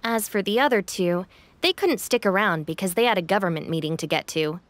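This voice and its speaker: A woman's voice: mid-range voice, animated, fairly quick, no room sound, close to an everyday voice. A woman voicing a sensible, level-headed young gang deputy in a video game. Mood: matter-of-fact. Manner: level-headed, matter-of-fact.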